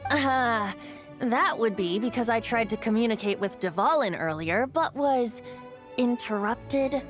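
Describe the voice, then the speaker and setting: A woman's voice: on the high side, a little slow, faint music behind, close to an everyday voice. A woman voicing a playful, boastful young male bard in a video game, with a light, teasing manner. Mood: explaining.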